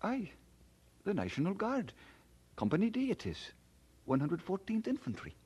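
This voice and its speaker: An actor playing an earnest, devout young Scottish immigrant in an audio drama, polite and expressive. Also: male voice, high voice, moderate pace. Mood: casual, eager. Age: young adult. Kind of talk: audio drama acting with first-person narration.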